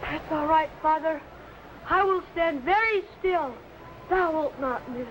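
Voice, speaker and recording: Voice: sits high, a little slow, a bit bright. A young male performer playing an eager, trusting boy in old adventure serial style. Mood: brave, pleading. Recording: noisy old broadcast recording.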